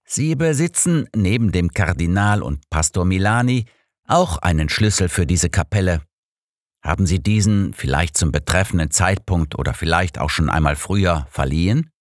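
A man's voice: deep voice, animated, moderate pace, no room sound, studio quality. A man voicing an old master detective in an audiobook, precise, formal and self-assured in his explanations. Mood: questioning. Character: old master detective, analytical, sure of himself.

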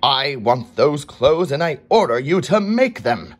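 A commanding, greedy king from a storybook, snapping orders at full volume, put on by a man reading the tale aloud. He is pompous and impatient, with a low voice that swings widely as he boasts. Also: sing-song, takes it slowly, breathy edge, heavily acted. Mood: demanding.

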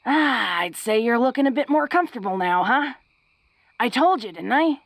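A woman playing a big, tough young orc who is secretly awkward and tender, speaking haltingly and intimately to the listener in a romantic audio roleplay. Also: a little high, animated, no echo. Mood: friendly, hearty. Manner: bashful, halting, breaking into soft chuckles. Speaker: voice actor.

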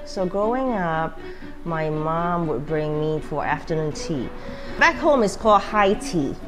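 A woman's voice: low-pitched, animated, unhurried, over music. A woman from Malaysia who owns a tea restaurant, speaking earnestly in an interview in her dining room. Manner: conversational, earnest. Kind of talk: interview testimonial.

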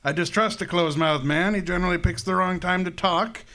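An actor playing a jovial, wordy, wealthy fat crime boss in a radio drama, speaking with effusive, genteel relish. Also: male voice, high-pitched, brisk, sharp tone. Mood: effusive, genial. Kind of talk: old-time radio drama acting.

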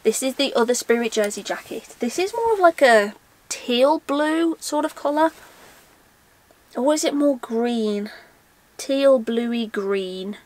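Young woman, highish voice, chatty and self-mocking, asks herself stuff out loud, filmed indoors. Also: lively intonation, slow. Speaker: vlogger. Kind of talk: casual haul vlog. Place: indoor room lined with shelves of backpacks.

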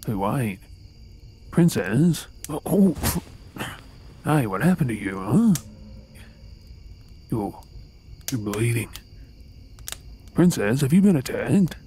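A man's voice: medium pitch, sing-song, slow, pauses often, dark tone, a little hiss or hum. A man with an Australian accent playing a resentful undercover soldier posing as a royal servant in an audio role-play, brooding in asides and formally deferential in address. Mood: startled, concerned. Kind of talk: scripted ASMR audio role-play acting.

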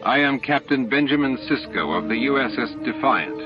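A man's voice: mid-range voice, lively intonation, moderate pace, dull audio, over music, close to an everyday voice. A man reading the part of a stern male starship captain, grim and firm, holding back anger.

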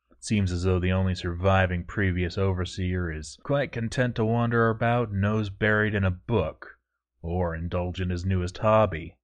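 A proud dwarf overseer, acted out by a man, narrates his fortress journal in a fairly low voice. He is formal and measured, with a self-important, dutiful air, as in a scripted fantasy story. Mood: self-assured. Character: proud, stern male dwarf fort overseer, grandly dutiful.

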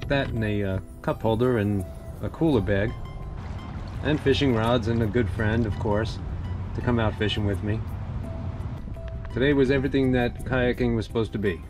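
A man narrating his kayak fishing day in a relaxed, reflective voice-over. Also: medium pitch, moderate pace, long pauses. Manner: easygoing, reflective. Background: music.

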